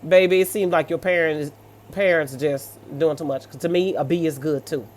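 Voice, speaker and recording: A mid-pitched American voice reads stories aloud and comments on them off the cuff. The delivery is sassy and blunt, with colloquial asides in a scolding manner. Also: fairly even intonation, moderate pace, darkish tone, slight background noise. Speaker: host reading and reacting to online stories.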